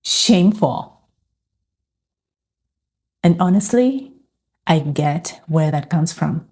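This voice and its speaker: A middle-aged woman tells her story in a calm, earnest way. Her voice is low and mellow. She speaks slowly and reflectively. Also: breathy edge. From Uganda. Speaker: faith and lifestyle vlogger. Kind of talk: personal testimony to camera.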